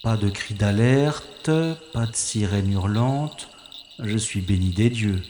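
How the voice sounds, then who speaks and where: A man's voice: lowish voice, slow pace, warm tone, reverberant, slight background noise. A man playing a wry, cocky secret agent. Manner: wry self-mocking narration. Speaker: first-person narrator-hero. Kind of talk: audio drama inner monologue.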